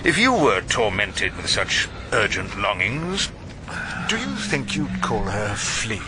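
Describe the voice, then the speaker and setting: A man playing a wry, irascible old barrister in a radio drama, with an English accent and an unhurried, ironic delivery. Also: on the low side, very animated, very noisy. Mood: probing, dry. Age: older. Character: irascible, wry old male barrister narrating his cases. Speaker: barrister and narrator. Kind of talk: radio drama dialogue and first-person narration.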